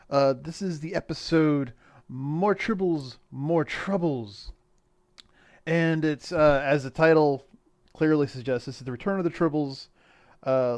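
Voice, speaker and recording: A man with a slightly raised pitch co-hosts a casual review podcast. He talks in a jokey way, slipping between mock-formal announcer phrasing and loose, sweary conversation. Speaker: podcast co-host. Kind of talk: casual comedic review chat.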